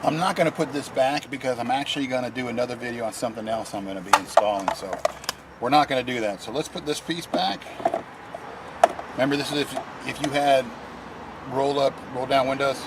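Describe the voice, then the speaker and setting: A man's voice: medium pitch, a little fast, very noisy. A man presenting a DIY video, talking casually and in a folksy way through each step as he works in or beside a vehicle. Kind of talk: casual hands-on tutorial narration while working.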